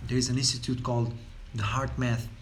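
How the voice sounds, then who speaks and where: A man's voice: medium pitch, fairly even intonation, a little fast, a little hiss or hum. A man from Portugal with a Portuguese accent, speaking about well-being in an unscripted talk, rambling along with many fillers and repeated words. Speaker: wellness speaker.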